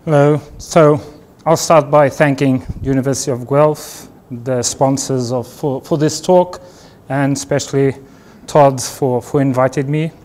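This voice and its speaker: A man in his fifties from Portugal with a Portuguese accent, giving a relaxed, self-deprecating university lecture in a large hall. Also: mid-range voice. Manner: unhurried, self-deprecating, light laughs. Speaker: guest lecturer.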